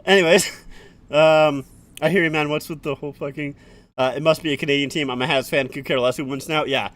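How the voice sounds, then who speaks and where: A man's voice: fairly high pitch, fairly even intonation, fairly bright tone, dry recording. A man streaming a live hockey watch-along, calling the play-by-play and chatting with viewers between plays. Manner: rapid running play-by-play, swears, chats with viewers.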